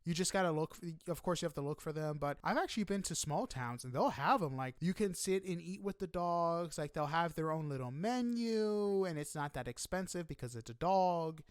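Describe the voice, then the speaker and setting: A man hosting a casual podcast interview, chatting informally and a little haltingly. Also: fairly high pitch, expressive, no echo, studio quality.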